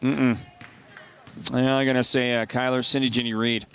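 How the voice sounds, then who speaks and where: A congested man with a partly lost voice, calling high school basketball play-by-play and chatting between plays. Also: level tone, normal speed, a bit bright, slight background noise. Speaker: play-by-play announcer.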